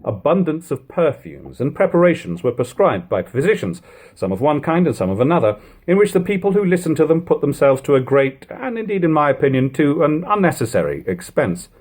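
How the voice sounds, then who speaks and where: A man reading an old literary text aloud as an audiobook narrator, with expressive, emphatic phrasing and deliberate pauses. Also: a little high. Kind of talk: dramatic audiobook reading of 18th-century prose.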